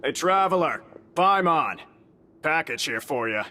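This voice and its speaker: An actor voicing a grumbling courier in a video game. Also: male voice, a little high, lively intonation, a little slow, pushed and bright, a little hiss or hum. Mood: grumpy. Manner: gruff, grumbling. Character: grumpy male courier dropping off a package. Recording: game audio with hall reverb.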